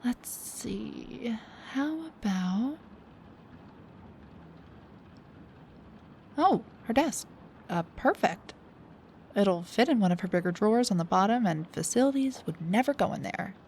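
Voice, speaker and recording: A young woman playing a snarky, high-strung research assistant in an intimate audio roleplay, with a halting, stammering, dramatic delivery. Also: lively intonation, gravelly, a little mellow, a little hiss or hum. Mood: quiet musing. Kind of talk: intimate one-sided audio roleplay acting.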